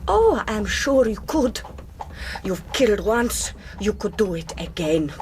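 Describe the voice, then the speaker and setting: An actress playing a defiant, coolly bitter young sister in a radio drama, measured and quietly scornful. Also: female voice, mid-range voice, very animated, a little hiss or hum. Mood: calm, principled. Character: defiant, coolly bitter Greek woman avenging her brother.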